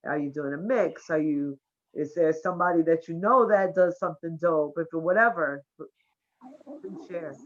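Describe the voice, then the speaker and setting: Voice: mid-range voice, moderate pace. A host casually steering a livestream conversation and putting questions to guests over a video call. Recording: dull lo-fi livestream audio.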